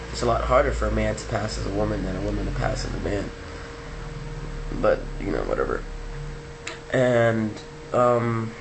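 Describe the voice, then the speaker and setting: A man talking casually to his camera in a relaxed, rambling way with many ums, sounding like he just woke up. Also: fairly low pitch, creaky. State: just woke up, low-key. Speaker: vlogger. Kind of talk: casual video diary. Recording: noisy background hiss.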